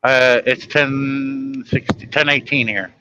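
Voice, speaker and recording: A man's voice: mid-range voice, fairly even intonation, slow pace, noisy background. A man chatting casually late at night on a live stream, blunt and griping, swearing freely.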